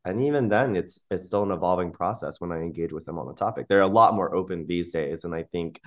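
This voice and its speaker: Deep-voiced male podcast host. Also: fast. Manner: conversational, relating to the guest. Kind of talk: casual interview chat.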